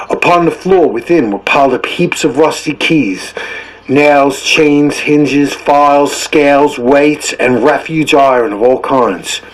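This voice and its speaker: Casting: male audiobook narrator, mid-pitched, measured delivery with dramatic weight for classic fiction. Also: slightly rough, poor audio. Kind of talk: scripted literary narration.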